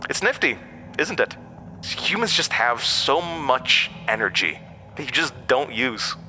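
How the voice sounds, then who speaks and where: An actor playing an ancient monster, a persuasive, scornful tempter who speaks with theatrical, deliberate emphasis in a horror audio drama. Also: male voice, medium pitch, sing-song, bright, forceful tone, faint music behind, heavily acted. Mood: sly, conspiratorial.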